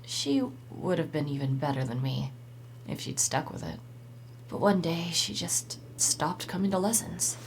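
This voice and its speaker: A woman voicing a guarded, frank young woman questioned in a video game mystery, speaking candidly in a low-key way. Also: expressive, measured pace, a little hiss or hum, rough recording. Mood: nostalgic. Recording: game audio with music underneath.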